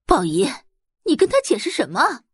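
A woman's voice: high voice, wildly swooping pitch, normal speed, breathy edge, dry recording, studio quality, pitched above the performer's own voice, full character voice. A woman voicing an angry, scornful middle-aged mother in an audio novel, huffing and ranting indignantly. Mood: bitter indignation. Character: angry, scornful middle-aged adoptive mother, doting on her own daughter.

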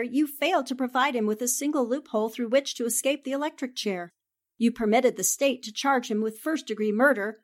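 Woman playing a plucky forties girl reporter, fairly high voice, shrewd, poised, theatrical radio drama delivery. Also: natural voice. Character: shrewd, fearless female newspaper reporter, coolly confident. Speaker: voice actor. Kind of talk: 1940s-style radio drama acting.

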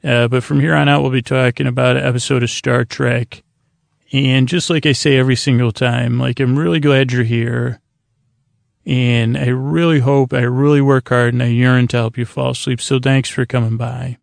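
A man hosting a bedtime podcast in a creaky, lulling voice, meandering and halting as he blathers soothingly. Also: medium pitch, flat delivery, no echo. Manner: meandering, halting, repeats himself. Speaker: host of a bedtime story podcast. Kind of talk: rambling bedtime monologue, soothing.

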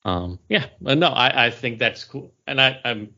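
A man co-hosting a podcast, chatting casually about pop culture in a rambling, halting way that often trails off. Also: medium pitch, expressive, pushed and bright, highs cut off. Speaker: podcast co-host.

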